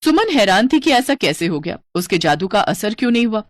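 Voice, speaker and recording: A woman's voice: medium pitch, sing-song, a little fast, no room sound, clean studio sound. A woman working as a storyteller, narrating a scripted moral tale.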